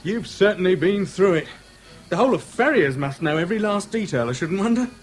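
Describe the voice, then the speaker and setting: A man's voice: high voice, lively intonation, a little fast, soft background music. A British actor in a 1970s radio drama playing a chatty, outwardly affable man who nurses a grudge, speaking in casual slang. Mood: casually probing. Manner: colloquial, slangy, casually insinuating.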